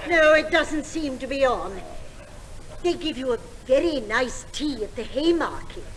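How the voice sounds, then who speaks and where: A woman's voice: sits high, animated, unhurried. A woman playing a genteel, dithery older lady, polite and earnest, in a 1950s stage comedy sketch. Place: large theatre stage. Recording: very noisy 1950s theatre recording.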